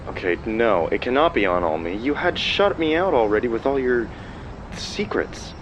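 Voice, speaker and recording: Male cop ex for audio drama: actor plays smooth, wounded, earnest, highly expressive, on a noisy earpiece call. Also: normal speed. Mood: pleading, frustrated. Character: hurt, pleading police officer ex-boyfriend.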